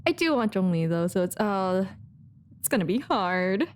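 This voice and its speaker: A woman's voice: mid-range voice, sing-song, normal speed, slight background noise. A woman giving casual live gameplay commentary, exclaiming and muttering to herself as she reacts. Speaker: game streamer. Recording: game music behind the voice.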